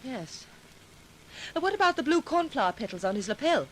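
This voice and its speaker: A devoted, anxious sidekick in a 1940s radio mystery. Her high voice is earnest and inquisitive, with faint noise from the old recording. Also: big pitch swings, brisk, poor audio. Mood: curious, tender. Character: anxious, devoted female companion of a detective.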